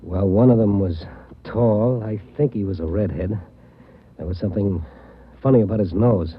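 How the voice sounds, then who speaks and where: A man's voice: lowish voice, moderate pace, slightly rough. An actor playing a wounded police patrolman who speaks weakly and plainly, in 1940s radio drama style. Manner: weak, plain-spoken. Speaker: police officer. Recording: muffled old radio broadcast.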